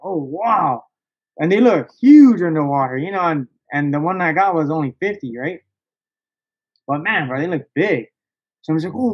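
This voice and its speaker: A man telling a story casually as a podcast guest, in a slangy, excitable way with plenty of swearing. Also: on the high side, dull audio. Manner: slangy, excitable, profanity-laced, peppered with "bro" and "dog".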